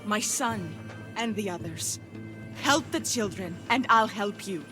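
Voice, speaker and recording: A woman's voice: medium pitch, animated, a little slow, over music, natural voice. An actress playing a defiant, streetwise peasant mother in a video game, feisty and earnest. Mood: bitter, pleading. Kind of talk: video game cutscene acting.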